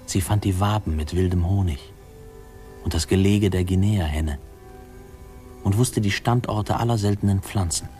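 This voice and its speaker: An actor playing a man tenderly remembering his childhood, narrating a 1950s radio play in a mellow, reflective way. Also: low-pitched, normal speed, long pauses, natural voice. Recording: old radio play, music behind.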